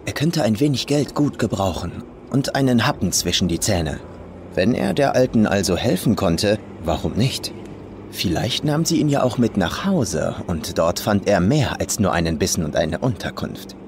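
A man's voice: lowish voice, very animated, normal speed, noisy background. A man reading a scripted horror story as an audiobook narrator. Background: faint atmospheric ambience.

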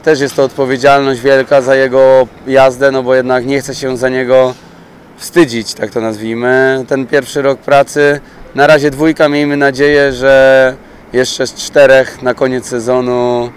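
A man's voice: medium pitch, little pitch movement, unhurried. Man speaking over outdoor noise. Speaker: speedway youth coach. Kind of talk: interview answers, informal spoken reflection. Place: open-air speedway stadium pit area. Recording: outdoor pit-lane noise behind voice.

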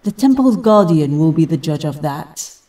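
A woman's voice: deep voice, animated, moderate pace, warm tone, far from the mic, rough recording. An actress playing a stern, commanding immortal female warrior guardian in an audio drama, speaking solemnly.

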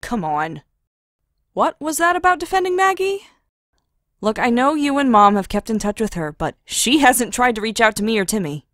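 A young woman plays an exasperated peacemaking sister in an audio drama. Her high, bright voice moves animatedly as she pleads earnestly, recorded dry. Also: big pitch swings, moderate pace, no echo. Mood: exasperated, reasoning.

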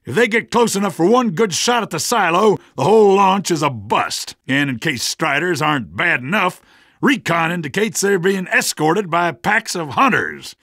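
Gritty, high-strung resistance fighter. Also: male voice, fairly bright tone, husky, no room sound, studio quality, exaggerated voice. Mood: tense, commanding. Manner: urgent, emphatic. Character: gritty male resistance fighter rallying troops under attack. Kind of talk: video game battle barks.

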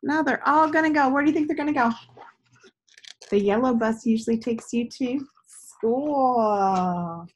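A woman's voice: a little high, measured pace, long pauses, a little hiss or hum, rough recording. A woman in her thirties reading aloud for young children, teacherly and prompting with questions. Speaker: read-aloud storyteller. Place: small living room indoors.

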